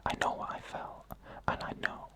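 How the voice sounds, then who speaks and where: A narrator reading eerie first-person stories in a slow, deliberate ASMR whisper, pausing between short phrases. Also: pushed and bright.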